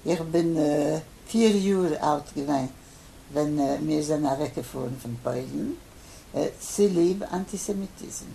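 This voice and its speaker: An older man who grew up in Poland, his first language Polish, answering interview questions haltingly with many pauses and fillers. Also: on the high side, vocal fry, warm tone. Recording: faint background noise.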